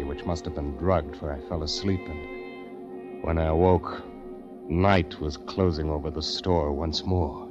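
An actor playing an earnest, uneasy man trapped among strange recluses in a 1940s radio drama, polite, hesitant and brooding. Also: sits low. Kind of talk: 1940s radio acting, first-person diary narration. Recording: dull vintage radio recording, music behind.

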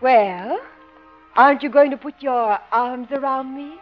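High-voiced, cooing flirt. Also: female voice, unhurried. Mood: coy, inviting. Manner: cooing, lingering, teasing. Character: flirtatious, coy woman dance instructor, sweetly forward. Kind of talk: 1950s radio comedy acting. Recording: muffled old-time radio recording with music.

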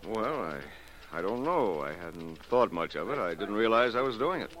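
An actor playing a nervous, defensive murder suspect in a 1940s radio detective drama. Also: male voice, lowish voice, big pitch swings, normal speed, a bit bright, low-quality recording. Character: edgy, defensive male murder suspect, ruined investor.

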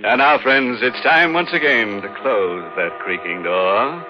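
A man's voice: mid-range voice, a little slow, close to an everyday voice. An actor playing a mock-sinister, tongue-in-cheek horror host on 1940s radio, savouring his macabre puns. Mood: gleeful, macabre. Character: gleefully macabre male horror show host. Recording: muffled old radio broadcast with music.

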